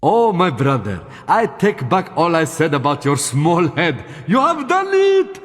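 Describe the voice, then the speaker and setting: Game rogue: high, exclamatory, gloating, greedy, heavy echo tail. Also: male voice, a little slow. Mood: gleeful, greedy. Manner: excitable, exclamatory. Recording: long echoing reverb.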